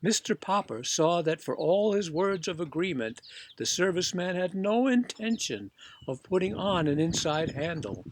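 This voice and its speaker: A man reading a children's book aloud as expressive scripted narration. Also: sits high, moderate pace, a little hiss or hum. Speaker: audiobook reader.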